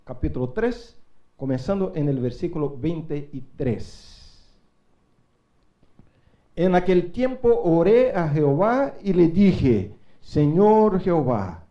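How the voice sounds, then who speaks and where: A middle-aged man preaching a sermon in a church, speaking Spanish as a second language and swinging from quiet asides to loud rising calls to the congregation. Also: fairly high pitch, muffled recording. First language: not Spanish. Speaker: guest preacher.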